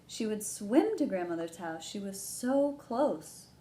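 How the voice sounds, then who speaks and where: Mellow-voiced woman reading a children's storybook. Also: mid-range voice, big pitch swings, unhurried. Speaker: library storytime host reading aloud. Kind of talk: children's picture book read-aloud. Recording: roomy echo, background noise.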